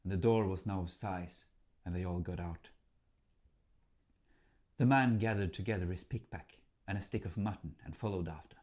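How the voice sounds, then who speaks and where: A man narrating a folk tale as a storyteller, in a theatrical, measured manner. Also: deep voice, darkish tone.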